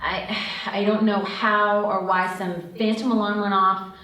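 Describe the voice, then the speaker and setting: A woman's voice: medium pitch, level tone, unhurried, sharp tone. Cautious woman thief in an echoey room actress. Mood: worried. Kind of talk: table read of a screenplay. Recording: very echoey room, distant mic.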